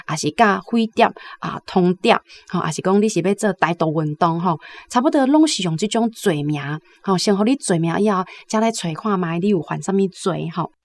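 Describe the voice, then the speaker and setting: A woman's voice: lowish voice, expressive, a little fast, hardly any pauses. Taiwanese woman giving literary commentary.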